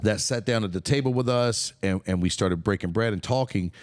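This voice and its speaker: A middle-aged man with a very low voice hosts a podcast, talking in an enthusiastic, rambling way and dropping the odd casual swear. The sound is dry and close, as in a small quiet room. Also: a little fast, no echo. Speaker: podcast host. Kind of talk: casual interview chat.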